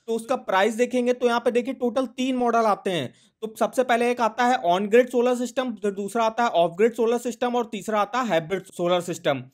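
A man narrating a screen-recorded tutorial, steadily walking through price tables and steps as the video's host. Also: high voice. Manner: steady explanatory walkthrough, reads prices off screen.

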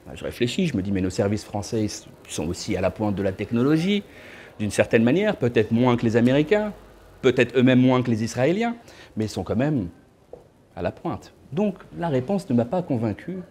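Mellow-voiced man giving a casual lecture. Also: mid-range voice, expressive, normal speed. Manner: conversational, with joking asides to the audience. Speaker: guest speaker. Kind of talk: lecture to a small audience. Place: indoor room by a window.

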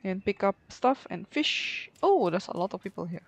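A woman's voice: mid-range voice, expressive, normal speed. A woman giving casual gameplay commentary, thinking aloud in short reactions. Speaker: gaming video creator.

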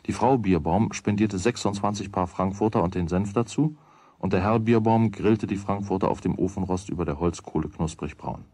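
A middle-aged man narrating a children's radio play as a calm storyteller. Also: deep voice, husky, no room sound, heavily acted.

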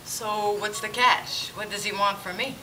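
A woman's voice: medium pitch, fairly even intonation, unhurried, bright, forceful tone, slight background noise. A woman in an amateur church stage play, playing a doubtful dinner guest who keeps questioning what she hears. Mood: skeptical. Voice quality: skeptical, questioning. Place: church hall stage, indoors. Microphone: far camera. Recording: distant camera with music behind.

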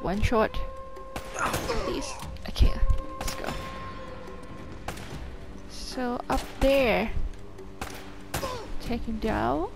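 Female gamer, high-ish voice, unhurried short remarks over prominent game music. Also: huge pitch swings, creaky. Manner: talks to herself in short phrases. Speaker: gamer commentating a playthrough. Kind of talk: casual gameplay commentary. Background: video game music and sounds.

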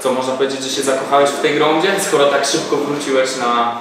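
A man's voice: mid-range voice, fairly even intonation, fast, background noise. A man in his twenties interviewing someone in a casual, chatty style. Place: seated on a sofa in a room, indoors. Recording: echoey, distant mic, crowd chatter behind.

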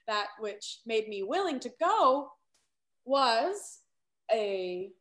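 A woman acts out a young Restoration gentlewoman, high-voiced and slow to deliver each line. She is flustered and scheming, with an arch, theatrical manner fit for stage comedy. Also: big pitch swings, exaggerated voice. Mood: airy bluffing. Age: young adult. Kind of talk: Restoration comedy stage acting over video call. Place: small room at home. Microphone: video call.

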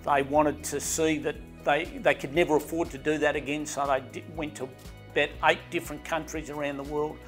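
A middle-aged Australian man talks eagerly about his boat factory, his voice a touch higher than most men's. He stumbles and restarts often, and quiet music runs beneath the interview. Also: little pitch movement, fairly quick. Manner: enthusiastic, rambling with restarts and "you know". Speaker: boatbuilding company owner. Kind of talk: interview answers. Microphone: lapel mic. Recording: faint background music.

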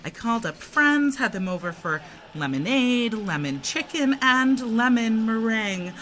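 An actress playing an earnest, self-assured former model turned wellness guru in an audio drama. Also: female voice, on the high side, very animated, measured pace, fairly bright tone, a little hiss or hum. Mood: confessional, earnest. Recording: music playing behind the dialogue.

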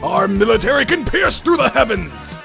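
A man plays a pompous, addled starship captain in a cartoon parody. The voice is heavily gravelly yet fairly high-pitched, rambling on grandly, with music clearly playing behind it. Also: big pitch swings, moderate pace, heavily acted. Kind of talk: comedic fan dub of a cartoon.

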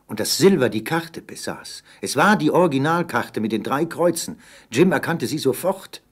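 A man's voice: mid-range voice, lively intonation, normal speed, husky. A man narrating an adventure radio play in a scripted storytelling style.

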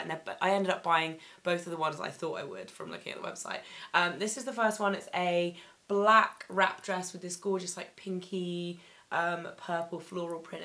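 A young woman with a fairly low voice, still a little croaky from losing it to illness. She chats eagerly and casually through a fashion haul, filling the gaps with ums and likes. She is recorded on a camera mic in her bedroom. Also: a bit bright. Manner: chatty, enthusiastic, many ums and likes. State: slightly croaky after laryngitis. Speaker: fashion vlogger. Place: bedroom, indoors.

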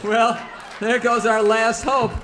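An actor playing an excitable, anxious cowboy in a parody radio serial. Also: male voice, very high-pitched, slow pace, background noise. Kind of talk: parody old-time radio serial acting.

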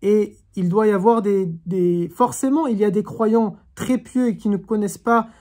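A woman's voice: mid-range voice, steady tone, normal speed, warm tone. A woman teaching an online religious class over a video call, speaking in an earnest, reflective way and urging her listeners on. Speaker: Quran teacher.

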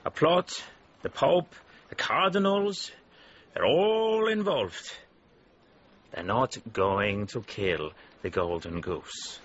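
A man's voice: medium pitch, slow pace, fairly bright tone, dull audio. An actor playing a worldly, gossipy male informant in a radio drama. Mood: conspiratorial.

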